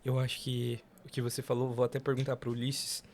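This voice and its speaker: A man's voice: medium pitch, monotone, a little fast, a little mellow, a little hiss or hum. A man with a Brazilian accent hosting a podcast in casual conversation. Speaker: podcast host.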